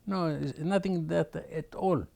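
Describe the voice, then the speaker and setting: An older man from Aden, Yemen, slowly recalling memories in an oral history interview, with many pauses and restarts. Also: a little high, vocal fry, mellow.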